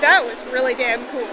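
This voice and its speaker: A woman's voice: sits high, narrow, tinny audio. A woman on a train crew chatting casually as she answers an interviewer. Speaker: steam locomotive crew member. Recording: band-limited, noisy vintage radio-style sound.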